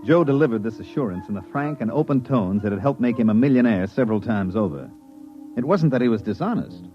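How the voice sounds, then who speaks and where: An actor playing a wry, skeptical planetary surveyor in a radio drama, narrating and talking in a dry, conversational way. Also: male voice, mid-range voice, expressive, fast talker, faint music behind, natural voice. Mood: dry narration. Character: wry, skeptical male planetary surveyor telling his misadventure. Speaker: narrator and lead character. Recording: muffled old radio drama recording.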